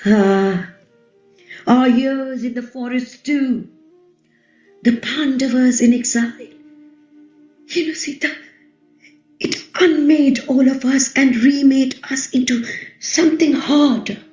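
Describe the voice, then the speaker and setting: Woman acting defiant fire-born queen, echoey, slow, dramatic, proud, radio play, music behind. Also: sits high, darkish tone, highs cut off. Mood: brooding, probing. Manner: deliberate, dramatic, intense.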